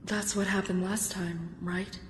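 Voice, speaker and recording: A woman playing a dutiful military admiral, formal, measured and respectful, in a sci-fi drama. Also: flat delivery, soft background music, close to an everyday voice. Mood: earnest. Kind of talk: sci-fi audio drama acting. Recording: echoey hall, clear background rumble.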